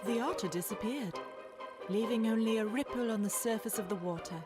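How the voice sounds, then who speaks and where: A woman's voice: on the high side, brisk, music in the background, lightly acted. A woman acting as narrator, reading scripted storybook narration in a children's audio drama. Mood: calm.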